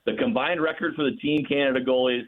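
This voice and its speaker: A man's voice: medium pitch, fairly even intonation, fast. Over a thin, phone-like connection comes a man with a mid-range voice. He is a sports broadcaster giving enthusiastic analysis in a loose, conversational style. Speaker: radio play-by-play broadcaster as guest. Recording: narrow muffled phone-like line.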